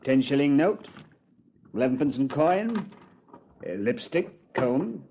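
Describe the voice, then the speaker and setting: Composed British police commander, muffled. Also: male voice, medium pitch, expressive, slow pace, slight background noise. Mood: composed, recounting. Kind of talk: 1950s radio drama, conversational narration. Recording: muffled vintage radio recording.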